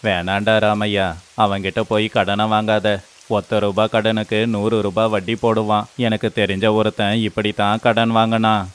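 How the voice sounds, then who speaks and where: A concerned, poor villager from a moral tale , played by a man. The voice is low and resonant. He speaks earnestly, like a friend urging caution. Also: level tone, normal speed, smooth, natural voice. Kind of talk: dramatized moral story voice acting.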